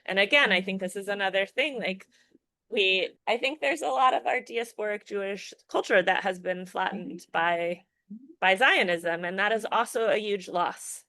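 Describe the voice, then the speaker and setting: A woman's voice: medium pitch, normal speed, bright, forceful tone, dry recording. A woman filmmaker talking through her work in a casual podcast interview, thoughtful and full of restarts and fillers. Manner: thoughtful, restarts often, many fillers like "you know". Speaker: filmmaker and educator guest.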